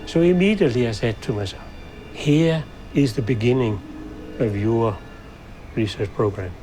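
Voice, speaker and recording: A man's voice: medium pitch, normal speed, music in the background. An older man with a Danish accent, a scientist recounting his experiences in an interview. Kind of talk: recollective interview storytelling.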